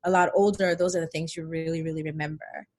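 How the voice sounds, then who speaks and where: A woman's voice: deep voice, fairly even intonation, fast talker, darkish tone. A middle-aged woman from Nigeria, born in England, chatting casually and telling stories as an interview guest. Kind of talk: casual conversational storytelling.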